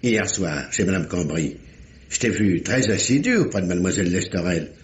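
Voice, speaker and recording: A man's voice: sits low, lively intonation, normal speed, reverberant. An actor playing a fussy, authoritative middle-aged examining magistrate in a theatrical radio mystery style, often repeating his words. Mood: grave, insistent. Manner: theatrical, hesitant repetitions, commanding. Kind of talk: 1950s French radio mystery acting.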